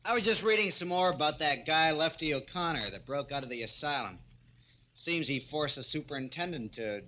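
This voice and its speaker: An actor playing a casual, inquisitive householder, blunt and animated in 1940s radio style. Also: male voice, fairly high pitch, fairly quick, a little hiss or hum. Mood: chatty, curious. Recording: dull old radio recording.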